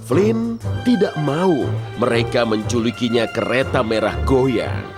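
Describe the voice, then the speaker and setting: A man's voice: medium pitch, big pitch swings, measured pace. A man narrating a children's cartoon as a dramatic storyteller. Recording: music playing behind the voice. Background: loud music, vehicle sounds.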